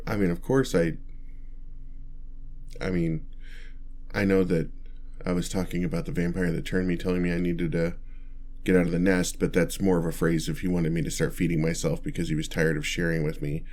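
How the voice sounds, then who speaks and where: A bashful male vampire, acted by a man for an ASMR roleplay, with a low-pitched voice. He stammers and gets flustered, too awkward and kind to be frightening. He speaks straight to the listener in halting phrases. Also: flat delivery, a little mellow, lightly acted. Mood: bashful, earnest. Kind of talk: ASMR roleplay, one-sided dialogue to listener.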